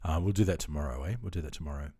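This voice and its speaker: A man's voice: rumbling low, rapid-fire, mellow, slightly rough, dry recording, clean studio sound. A middle-aged Australian man chatting casually on a live stream, easygoing and full of ums, answering viewers and calling them mate. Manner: easygoing and chatty, filler-heavy, keeps calling people mate.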